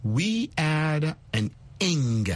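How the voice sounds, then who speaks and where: A man co-hosting a radio English lesson, relaxed and agreeable, with many fillers. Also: on the high side, very slow. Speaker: radio co-host. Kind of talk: casual on-air banter.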